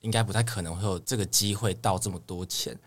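A man's voice: low voice, quick pace. A young man with a Taiwanese Mandarin accent, chatting earnestly as an idol singer guest in an interview and explaining things step by step. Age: young adult. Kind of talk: casual interview chat.

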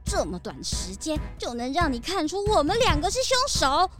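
Tiny brash hamster villain, very high. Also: female voice, very animated, normal speed, over music. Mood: mocking. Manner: mocking, brash. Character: small sly hamster villain greedy for gold. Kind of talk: children's audio drama acting.

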